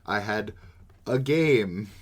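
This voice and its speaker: A man's voice: fairly low pitch, lively intonation, slow pace. A man co-hosting a podcast, chatting casually and answering in dry, short replies. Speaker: podcast co-host. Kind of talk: casual chat.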